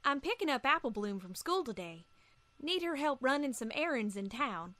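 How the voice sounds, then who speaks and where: A woman's voice: sits high, very animated, normal speed, pushed and bright, no room sound, exaggerated voice. A woman voicing a folksy, plain-spoken young female farm pony with a Southern US accent in an audio story. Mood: easygoing, warm. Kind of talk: acted audio story dialogue.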